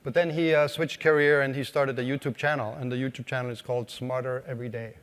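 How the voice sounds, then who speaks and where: A man's voice: mid-range voice, little pitch movement, fast, a bit bright, clear, resonant tone, in a large hall. A man with an Austrian accent giving a conversational keynote to a live audience, asking questions and using many fillers. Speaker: conference speaker. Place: conference hall.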